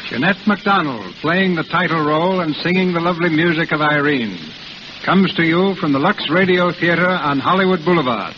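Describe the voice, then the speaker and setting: Male announcer, formal crisp delivery, hissy muffled vintage radio. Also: a little high, moderate pace.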